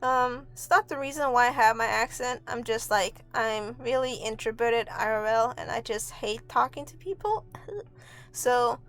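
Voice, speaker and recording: High-voiced woman, rambling casually. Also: unhurried, fairly bright tone. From Mexico. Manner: offhand, self-deprecating, sweary. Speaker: video artist and host. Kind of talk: casual rambling voice-over commentary. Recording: amateur home recording with music underneath. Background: music.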